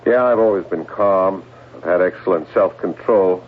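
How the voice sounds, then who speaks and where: A man's voice: sits low, normal speed. An actor playing an edgy, evasive stockbroker in his thirties in a radio drama, hesitant and defensive. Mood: anxious, evasive. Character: edgy, evasive 37-year-old husband and stockbroker. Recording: muffled, noisy old radio broadcast.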